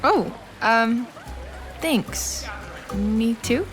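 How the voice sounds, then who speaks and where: A woman's voice: a little high, expressive, drawn-out words. An actress playing a teasing, skeptical young female student in an audio drama, wry and playful. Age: young adult. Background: music.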